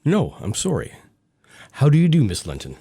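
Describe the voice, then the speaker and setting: An actor playing a bitter, mocking former stable boy turned wealthy gentleman in a period radio drama, speaking with cool formality. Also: mid-range voice, huge pitch swings, moderate pace, vocal fry, slightly rough, heavily acted. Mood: sardonic.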